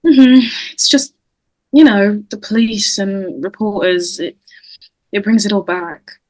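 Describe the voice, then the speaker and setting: A woman playing a subdued, vulnerable high-school girl, hesitant, in a table read of a slasher script. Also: takes it slowly, darkish tone, no room sound, close to an everyday voice, rough recording. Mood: subdued, uneasy.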